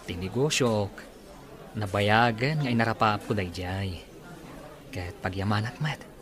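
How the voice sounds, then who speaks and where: A man's voice: lowish voice, measured pace, a crowd in the background, natural voice. A man acting a humble, grateful, earnest man in love in a serial audio drama. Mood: hopeful. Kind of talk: Filipino radio-style drama dialogue.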